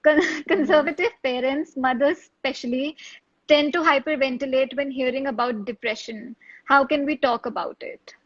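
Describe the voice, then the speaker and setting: High-voiced woman host, dull audio. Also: steady tone, normal speed, no room sound. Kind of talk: casual hosting, reading out audience questions.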